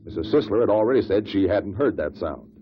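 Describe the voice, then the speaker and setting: An actor playing a shrewd, relentless investigator who questions witnesses deliberately in a 1950s radio drama. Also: male voice, fairly low pitch, lively intonation, a little hiss or hum, natural voice. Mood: calm, explanatory. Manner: deliberate, probing courtroom questioning. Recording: muffled vintage 1950s radio recording.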